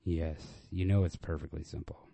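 A man voicing a weary, resigned young woman with quiet bitterness and a subdued, clipped manner in a story reading. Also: a bass voice, fairly even intonation, normal speed, creaky, dry recording, exaggerated voice. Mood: bitter.

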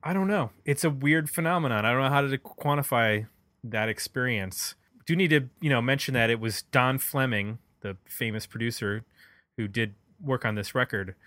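Guy w a bright voice, super dry sound, casual music podcast chat, kinda thinking out loud. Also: mid-range voice, moderate pace, dry recording. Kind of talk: casual album discussion.

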